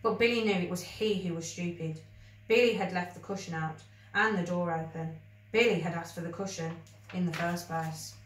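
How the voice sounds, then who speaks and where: A woman reading a children's story aloud with expressive narration. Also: lowish voice, normal speed, a little hiss or hum. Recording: roomy, echoey, distant microphone.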